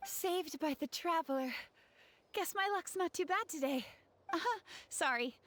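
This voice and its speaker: A woman's voice: very high-pitched, lively intonation, unhurried, a bit bright, exaggerated voice. A woman playing a friendly, earnest young gem artisan in a video game, telling her story expressively. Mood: relieved, grateful. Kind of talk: video game character dialogue.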